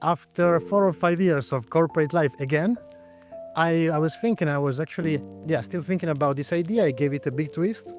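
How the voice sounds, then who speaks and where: Italian man, 40s, founder guest, fairly high voice, hesitant pauses, light music behind. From Italy. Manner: thoughtful, with frequent hesitations. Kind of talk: interview answers.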